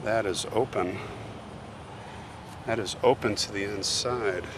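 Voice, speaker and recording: Slow, suspenseful male storyteller outdoors. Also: on the low side, background noise. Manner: theatrical, suspenseful, repeats phrases for effect. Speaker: host of a cemetery history video channel. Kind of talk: dramatic on-location storytelling. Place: outdoors, walking in a cemetery.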